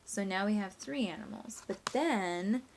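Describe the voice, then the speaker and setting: A woman's voice: mid-range voice, expressive, measured pace, slight background noise, low-quality recording. A woman teacher reading a picture book expressively and walking young listeners through simple sums step by step, prompting them with questions. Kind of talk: expressive storybook read-aloud mixed with simple math teaching.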